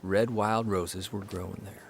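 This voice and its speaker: A man playing an earnest, literate grown farm son, reading a measured, reflective memoir monologue in an audio drama. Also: lowish voice, steady tone, slight background noise. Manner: measured, reflective, precise wording.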